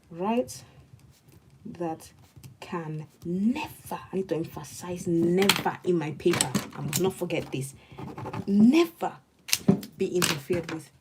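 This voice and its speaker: A woman's voice: lowish voice, a little hiss or hum. Nigerian young woman on a study stream. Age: young adult. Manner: murmurs study notes aloud and chats warmly with viewers. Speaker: law student livestreaming. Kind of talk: casual study-with-me livestream.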